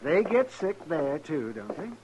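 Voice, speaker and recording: An actor playing a grumbling, querulous frontier town doctor in a 1950s radio western. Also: male voice, a little high, big pitch swings, a little slow. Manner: querulous, complaining. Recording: noisy old radio recording.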